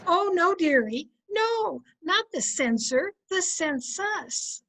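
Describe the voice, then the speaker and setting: Woman acting teasing patient wife, very high voice, 1950s radio comedy, folksy, dry wit. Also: expressive, slow, noisy background, full character voice. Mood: wry, helpful.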